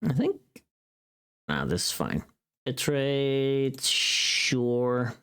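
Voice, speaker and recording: A livestream host with a mid-pitched voice and very animated, swinging intonation. The talk is casual and profane, like someone thinking aloud while working on screen. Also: very slow, clean tone.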